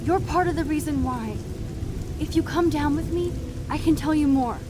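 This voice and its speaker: A woman's voice: high-pitched, normal speed, close to an everyday voice. A woman playing an earnest, determined teenage schoolgirl coaxing a friend in a video game drama. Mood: pleading, reassuring. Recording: clearly noisy, rain pattering behind.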